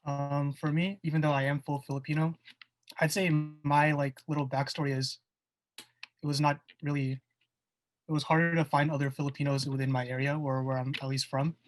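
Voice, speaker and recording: A young male college student speaking in a soft-spoken, even way on a casual podcast. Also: fairly high pitch, brisk. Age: young adult. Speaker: college student, club officer.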